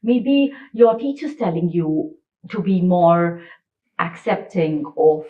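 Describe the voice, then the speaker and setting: A woman's voice: fairly low pitch, expressive, slow pace. Woman host, slightly distant, dull sound. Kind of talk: casual panel discussion, storytelling.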